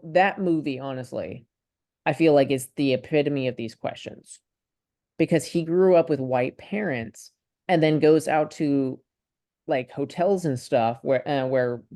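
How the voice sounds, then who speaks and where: A young man from Ukraine chatting casually on a video call in a student discussion, thinking aloud in a tentative, halting, rambling way. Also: medium pitch, darkish tone, dry recording, studio quality.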